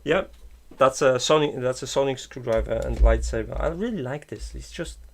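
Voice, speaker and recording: Guy thinking out loud while building something, gravelly low-key voice, slightly broken english. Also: normal speed. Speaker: maker streamer. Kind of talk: casual live-stream chat while building.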